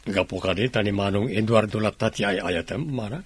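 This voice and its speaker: An actor playing a remorseful younger brother with a husky voice in a radio drama. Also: male voice, on the low side, fairly even intonation, brisk. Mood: concerned, probing.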